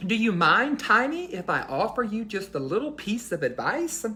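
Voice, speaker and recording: A woman voicing a small male mouse in a children's storybook, squeaky and brisk, bossy but kindly. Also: sing-song, pushed and bright, soft background music. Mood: gentle, encouraging. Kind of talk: children's storybook read-aloud.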